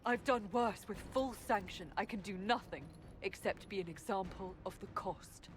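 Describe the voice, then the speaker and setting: A woman voices a guilt-ridden warden knight who speaks gravely, resigned to her fate. A faint hiss runs under the game audio. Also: medium pitch, moderate pace, natural voice. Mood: remorseful. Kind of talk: video game voice acting.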